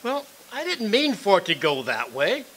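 An actor playing a flustered, indignant executioner with a stammering manner in a radio play. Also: male voice, sits high, wildly swooping pitch, moderate pace, fairly bright tone, a little hiss or hum, heavily acted, low-quality recording. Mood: curious, softening. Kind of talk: stage radio drama acting.

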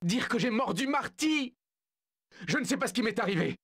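A man's voice: extremely high pitch, expressive, moderate pace, very noisy. An actor voicing a lonely, remorseful male lion who talks to himself in a video game dub. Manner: plaintive, lonely.

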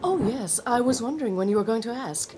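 An actress playing a sly, mysterious femme fatale client in a comic noir radio drama, with melodramatic delivery. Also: female voice, fairly high pitch, animated, fast talker, background noise, close to an everyday voice. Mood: coy, amused.

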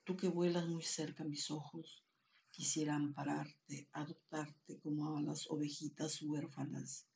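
A woman in her sixties from Andean Peru, a native Quechua speaker, speaks in a halting, solemn, measured way as a poet presenting her work. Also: low-pitched, little pitch movement, creaky, a little mellow, a little hiss or hum. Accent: Andean Peruvian. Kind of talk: introducing and reading own poetry. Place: small plain room indoors.

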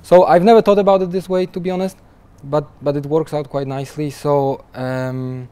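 Young male developer guest, warm mellow voice, easygoing interview talk that trips over itself. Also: on the high side, fairly even intonation, moderate pace, clean tone. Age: young adult. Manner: chatty, restarts often with repeated words, laughs lightly. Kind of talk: conversational interview answers. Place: conference interview booth, seated in armchair.

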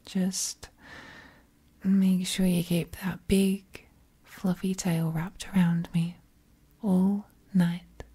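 An affectionate, playfully teasing witch girlfriend, acted by a woman for intimate ASMR. Her voice is very soft, dark and breathy, often dropping to a whisper. She coos tenderly and takes her time over each phrase. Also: lowish voice, level tone, drawn-out words, heavily acted. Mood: soothing, gentle. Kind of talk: ASMR roleplay, intimate close-up acting.

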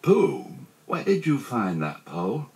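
A man's voice: mid-range voice, huge pitch swings, unhurried, gravelly, warm tone, pitched above the performer's own voice, exaggerated voice. A man voicing an earnest, kindly little boy who takes charge in a children's storybook, solemn and helpful. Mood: solemn, pleased.

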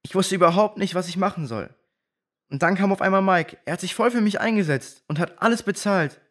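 A man's voice: high voice, brisk, lightly acted. A man playing an honest, contrite boy in a children's audio drama.

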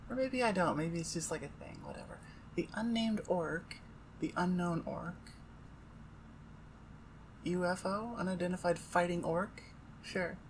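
A woman's voice: on the low side, unhurried, long pauses, low-quality recording. A woman audio creator talks casually to her listeners. She is informal and rambles, hesitating as she goes, and there is noticeable noise behind her voice.

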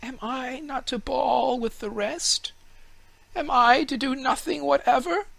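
A vain, simple young fir tree speaks in a storybook reading. The voice sits far above a normal speaking pitch, bright and thin with wondering eagerness. It is recorded close and dry. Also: male voice, a little slow, creaky, dry recording, full character voice. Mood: plaintive. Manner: high, wondering, breathless eagerness.

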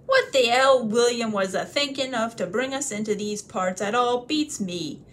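A woman's voice: on the high side, moderate pace, slight background noise, voice pushed up, lightly acted. A woman voicing a complaining male troll. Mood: grumbling.

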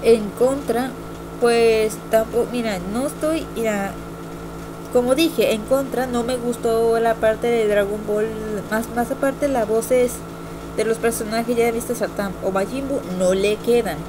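High-voiced young woman, noisy amateur recording. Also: steady tone, unhurried. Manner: rambling, repetitive, hesitant. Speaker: amateur video reviewer. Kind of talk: casual opinion vlog.

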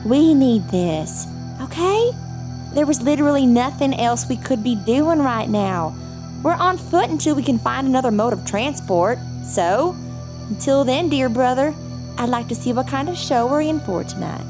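A woman's voice: high-pitched, expressive, moderate pace. An actress playing an impulsive, flippant outlaw woman who threatens hostages casually. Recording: loud background music.

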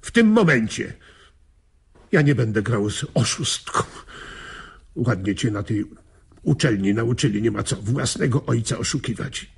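An actor playing a proud, gruff middle-aged father in a radio drama, blunt and sarcastic, with a raspy, gravelly voice. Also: medium pitch, animated, a little slow, long pauses, full character voice. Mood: offended, angry.

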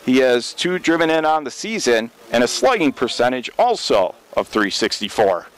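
Smooth-voiced man calling baseball. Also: medium pitch, moderate pace, rough recording. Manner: steady, rising sharply on big plays. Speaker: radio play-by-play announcer. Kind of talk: baseball play-by-play commentary.

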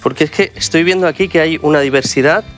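A man's voice: fairly high pitch, fast talker, a bit bright, faint music behind. A middle-aged man with a Spanish accent, a chef hosting a TV cooking show, explaining ingredients to viewers in a studio kitchen. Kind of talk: TV cooking demonstration.

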